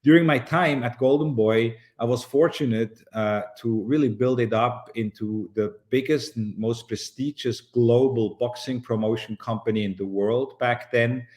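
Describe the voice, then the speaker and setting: A middle-aged man from Switzerland, a boxing promoter being interviewed, speaking in a measured way with frequent pauses. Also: mid-range voice, a little mellow. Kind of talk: relaxed interview storytelling.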